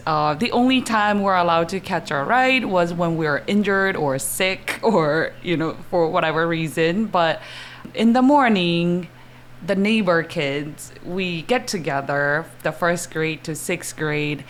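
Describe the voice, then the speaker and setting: A woman's voice: lowish voice, expressive, takes it slowly, a little hiss or hum. A middle-aged woman with a Japanese accent, a guest on a podcast talking casually and unhurriedly, laughing often between phrases, into a desk mic in a small studio. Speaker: podcast guest, athletic trainer. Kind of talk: casual interview chat. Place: small home studio, indoors. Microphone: podcast mic on a desk stand.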